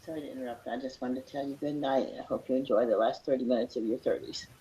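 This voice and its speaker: An echoey room with faint noise surrounds an older speaker with a dark, soft-edged voice. The talk is casual and conversational. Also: medium pitch, steady tone, fast talker. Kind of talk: casual chat.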